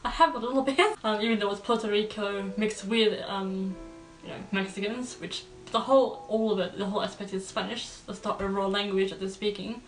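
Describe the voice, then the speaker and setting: A woman hosts a reaction video in a casual, rambling way. Her voice sits at a medium pitch in an echoey room, a little away from the mic. Faint music plays behind her chatty asides. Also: steady tone, a little fast. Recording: roomy echo, distant mic, faint music.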